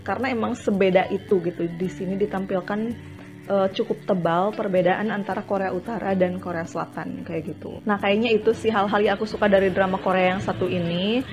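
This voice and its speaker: A woman's voice: mid-range voice, steady tone, a little fast, over music. Chatty woman reviewing a drama. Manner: chatty, many fillers and restarts. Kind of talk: casual spoken review.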